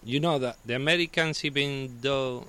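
A man from Spain with a Spanish accent, talking as a guest in casual chat, halting and restarting as he searches for words. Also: medium pitch, bright, forceful tone.

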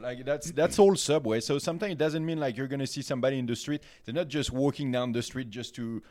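A man's voice: mid-range voice, fast talker, a little mellow. A man with a French accent chatting as a podcast guest, rambling with lots of fillers and restarts. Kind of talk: casual podcast chat.

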